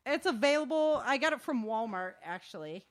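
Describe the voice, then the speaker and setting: A woman's voice: a little high, expressive, fairly quick. A woman chatting casually as host of a live show, informal and slangy, with exclamations.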